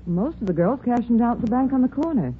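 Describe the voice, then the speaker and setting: A woman playing a brisk, efficient chief elevator starter in a 1940s radio drama, calling out orders crisply. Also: a little high, lively intonation, lightly acted. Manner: sing-out calls, crisp and efficient. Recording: tinny, noisy 1940s radio recording.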